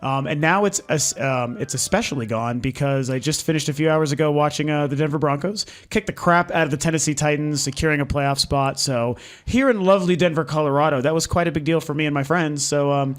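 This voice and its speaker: Hoarse man ranting on his vlog. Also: fairly high pitch, fairly quick, few pauses. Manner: frustrated, candid, swears freely. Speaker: video game channel host. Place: lives in Denver.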